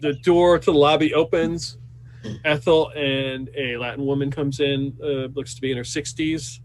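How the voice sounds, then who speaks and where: A man working as game master, improvising tabletop narration in a halting, conversational way. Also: on the high side, steady tone, low-quality recording.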